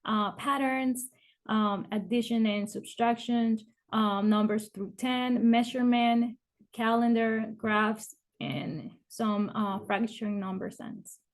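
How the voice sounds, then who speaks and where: A woman's voice: a little high, steady tone. A woman kindergarten teacher from Ecuador, introducing herself and listing the daily schedule and math topics, with frequent uhs. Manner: rattles off schedules quickly with uh fillers. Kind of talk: presenting a school info video.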